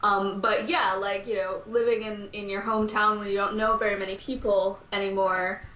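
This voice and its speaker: A woman's voice: medium pitch, level tone, moderate pace, pushed and bright. A woman talking as a podcast guest in a chatty, loose way with frequent ums. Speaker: guest, audio drama performer. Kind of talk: casual podcast chat. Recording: roomy, dull sound.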